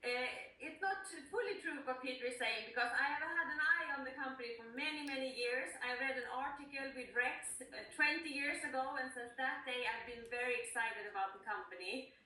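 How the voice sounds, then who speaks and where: Swedish lady giving an upbeat intro speech, high bright voice, super echoey room. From Gothenburg, Sweden. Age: 40s. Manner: enthusiastic, earnest. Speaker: newly appointed sales leader. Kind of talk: self-introduction speech to colleagues. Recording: very echoey room, distant microphone.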